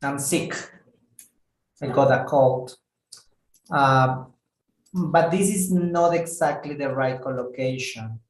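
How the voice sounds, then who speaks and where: Male ESL teacher, slow, careful delivery, repeats key words; echoey room. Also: medium pitch. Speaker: English teacher. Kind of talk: online English lesson.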